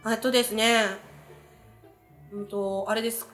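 Playful lady guest chatting on radio, high voice, bit of a tease, echoey room with music. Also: little pitch movement, a little slow, a bit bright. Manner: playful, teasing, often laughing. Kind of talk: casual chat answering questions. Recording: roomy echo, music behind. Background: background music.

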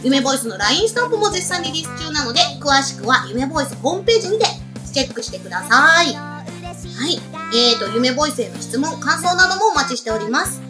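High-pitched, quick-talking woman radio host. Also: very animated. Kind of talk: chatty circle web-radio hosting with announcements. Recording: music behind the voice.

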